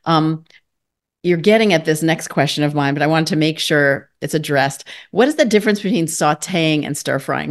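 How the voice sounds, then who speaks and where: A woman hosting an interview, asking questions and praising her guest with enthusiasm. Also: deep voice. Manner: enthusiastic, effusive. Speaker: host. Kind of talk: interview podcast chat.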